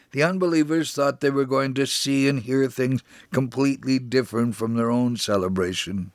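A man acts out a devout Holocaust survivor looking back on his life in a dramatic reading. The voice is mid-range, sincere and measured, telling the story earnestly in a dry, close recording. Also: mid-range voice, dry recording, close to an everyday voice.

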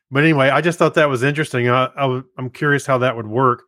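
A man's voice: mid-range voice, a bit bright, no room sound. Laid-back man co-hosting, dry sound. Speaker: podcast co-host. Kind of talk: casual chat.

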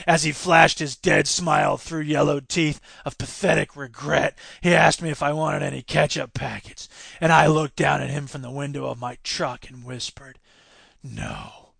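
Hombre interpretando a un vigilante amargado, voz apagada y grave. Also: male voice, on the high side, creaky, a bit bright, slightly rough, dry recording, clean studio sound, voice pushed down, exaggerated voice. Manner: grim, brooding, contemptuous monologue. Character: bitter grim vigilante journaling his disgust at filth.

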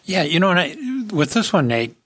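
A man's voice: a little high, lively intonation, brisk, a bit bright, no echo, clean studio sound. A man hosting an industry podcast in a casual talking style. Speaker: podcast host.

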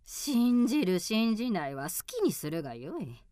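A woman's voice: medium pitch, very animated, normal speed, dark tone, no room sound, clean studio sound, natural voice. A woman playing a centuries-old, mysterious and stern old mountain woman with archaic, weighty speech in a radio drama. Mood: solemn.

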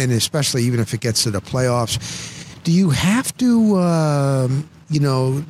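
A man's voice: medium pitch, a little hiss or hum. A man hosting a casual interview, eagerly repeating his words and affirmations as he goes. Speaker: interviewer, host.

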